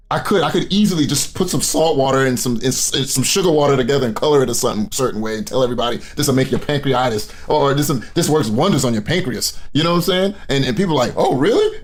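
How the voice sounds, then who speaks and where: Blunt, fast-talking man. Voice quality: deep voice. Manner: blunt, profane, mocking, repetitive. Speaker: commentary YouTuber reacting to clips. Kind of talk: casual reaction commentary.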